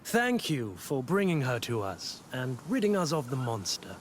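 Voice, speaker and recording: A man's voice: medium pitch, very animated, normal speed, slight background noise, close to an everyday voice. An actor playing a cold, ruthless henchman officer in a video game, speaking formally and matter-of-factly. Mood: cold, menacing. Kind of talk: dramatic video game acting.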